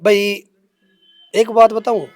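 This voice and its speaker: A man's voice: sits high, completely flat delivery, slow, darkish tone. A young man with a Haryanvi accent defending himself in an unscripted interview, speaking emphatically and colloquially with repeated phrases. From Haryana, India. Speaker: interviewee answering accusations against him.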